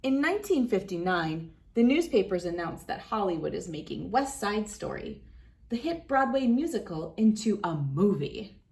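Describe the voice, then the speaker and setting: Woman, medium pitch, expressive read-aloud host, picture-book narration, deliberate emphatic phrasing.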